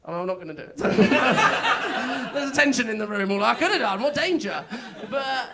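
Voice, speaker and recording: A man's voice: very high-pitched, expressive, moderate pace, heavy background noise. An Englishman, a young adult, doing a chatty, self-mocking stand-up set on a comedy club stage into a handheld mic, with plenty of filler words. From England.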